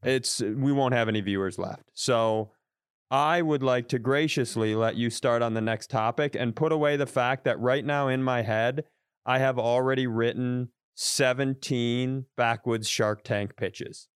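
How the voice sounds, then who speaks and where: A man's voice: mid-range voice, clean tone, no echo. Dry-miked man riffing comic bits. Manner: halting restarts building up bits. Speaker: comedian guest. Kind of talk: casual comedic riffing.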